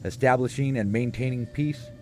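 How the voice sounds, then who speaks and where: A man's voice: medium pitch, moderate pace, mellow. A man reading scripted documentary narration for a radio feature. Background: music bed.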